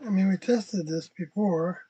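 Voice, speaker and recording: A person chatting casually as host of a livestream, in a meandering, unhurried manner. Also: mid-range voice, mellow, slight background noise. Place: inside an RV. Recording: muffled, dull livestream audio.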